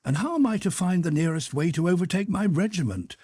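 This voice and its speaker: A well-bred young officer of eighteen, as a male narrator voices him, pitched somewhat above the reader's own husky voice. He speaks politely and a little hesitantly, with a touch of vexation. The sound is close and dry. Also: lively intonation, a little fast, dark tone, dry recording. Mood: earnest.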